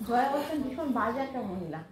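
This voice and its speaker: A woman in casual, gossipy conversation, recorded among many other people talking loudly. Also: fairly high pitch, very animated, fast. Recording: loud crowd chatter all around.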